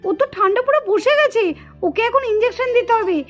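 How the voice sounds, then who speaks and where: A woman performs a stern lady doctor doll in a puppet cartoon. She scolds and insists in a very high, exasperated voice, tutting as she goes, over background music. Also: quick pace. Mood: appalled, scolding. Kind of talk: melodramatic puppet cartoon acting. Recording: music behind the voice.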